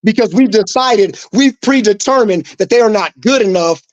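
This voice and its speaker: A man's voice: high-pitched, moderate pace, darkish tone, no echo, highs cut off. Emphatic, profane man in a heated sports argument. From New Mexico. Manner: emphatic, profane, repeating himself. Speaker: co-host.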